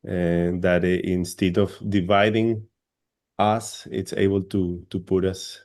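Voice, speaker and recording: A man's voice: low-pitched, little pitch movement, slow, darkish tone. A man from Colombia, an English speaker, giving an academic panel presentation over a video call, hesitant and repeating words often.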